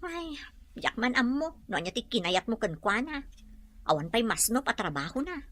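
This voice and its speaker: A concerned female friend in a radio drama, frank and questioning. Her voice is very high and bright, with an admonishing manner. It is recorded very dry. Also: very animated, normal speed, no room sound.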